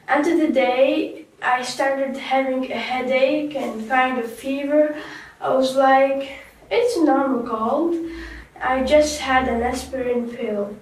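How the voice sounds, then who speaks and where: A remorseful young woman, played by a woman tells her story slowly, stumbling and restarting. Her voice sits high. It sounds distant and echoey. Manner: slow, halting, with restarts. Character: young woman survivor, remorseful and soft-spoken. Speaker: guest in a staged talk show. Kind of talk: scripted role-play interview. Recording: echoey room, far from mic.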